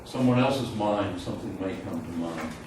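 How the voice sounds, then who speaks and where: Echoey, halting man lecturing from afar. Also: deep voice. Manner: halting, thinks aloud, frank about not knowing. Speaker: university physics lecturer. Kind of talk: informal classroom lecture with student questions. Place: lecture room, mic away from speaker. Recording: roomy echo, distant mic, loud room noise.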